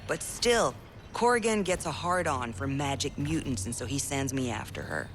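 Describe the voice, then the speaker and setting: A man's voice: medium pitch, big pitch swings, moderate pace, a bit bright, a little hiss or hum. A man playing a cocky, foul-mouthed, cynical mercenary in a video game cutscene, with a brash sneering manner. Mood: bitter, sneering.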